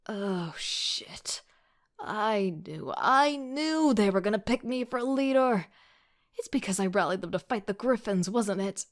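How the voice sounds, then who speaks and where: A woman's voice: mid-range voice, close to an everyday voice. A woman playing a wry, casual young student team leader who narrates an audio drama in the first person with sardonic asides. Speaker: voice actor.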